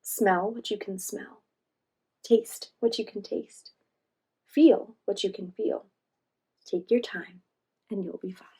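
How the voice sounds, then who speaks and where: A woman reading a children's storybook aloud as host, pausing in a teacherly way to guide young listeners. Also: medium pitch, steady tone, dark tone, husky, low-quality recording. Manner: teacherly, asks listeners questions and guides them.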